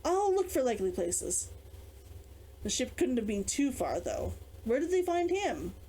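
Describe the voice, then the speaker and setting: A woman reads the part of a practical, inquisitive man planning a search in a storybook adventure. The voice is on the high side and very lively, thinking aloud and asking questions. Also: unhurried, husky, heavily acted, poor audio. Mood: thoughtful, practical. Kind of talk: character dialogue in audiobook reading.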